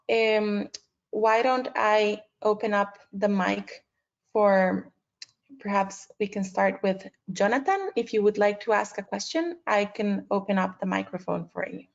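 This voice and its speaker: A woman moderates a webinar with noticeable vocal fry in a mid-pitched voice. She is polite and accommodating, speaking unscripted. The sound is dull, like a compressed online call. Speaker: host and moderator of an online panel. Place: video conference call.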